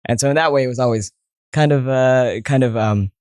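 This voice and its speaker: A man's voice: mid-range voice, brisk, darkish tone, clean tone, no echo, studio quality. A young man in his twenties with an American accent, telling stories as an interview guest, full of fillers and restarts. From born in Saudi Arabia, raised partly in New Jersey. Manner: many fillers and restarts, storytelling. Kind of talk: casual interview talk.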